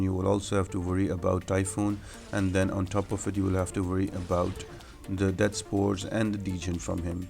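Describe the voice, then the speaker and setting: A man's voice: deep voice, vocal fry, darkish tone. A man giving game walkthrough commentary in an explanatory, matter-of-fact manner, as the host of a guide.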